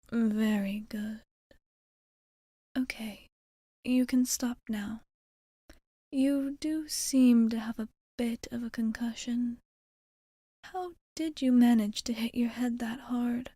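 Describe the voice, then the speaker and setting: Soft, slow half-whispering vampire bartender played by a woman. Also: a little high, no echo, studio quality. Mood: gently concerned. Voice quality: soft, breathy, often near a whisper. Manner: formal, measured, hesitant wording. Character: protective, composed female vampire bartender, tenderly concerned. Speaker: ASMR roleplay performer. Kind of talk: ASMR roleplay, soft-spoken and half-whispered. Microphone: close microphone, dry sound.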